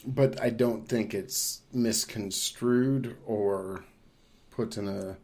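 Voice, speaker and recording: A man co-hosting a podcast, talking through his opinions on films casually, thinking aloud in a measured way. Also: fairly low pitch, fairly even intonation, a little mellow. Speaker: podcast co-host. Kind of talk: casual film discussion.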